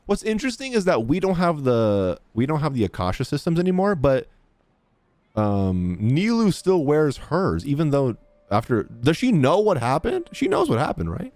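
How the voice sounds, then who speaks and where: A man's voice: a little high, wildly swooping pitch, normal speed, a little mellow, no echo. A man streaming a game, giving casual, slangy, joking reaction commentary. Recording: game music behind the voice.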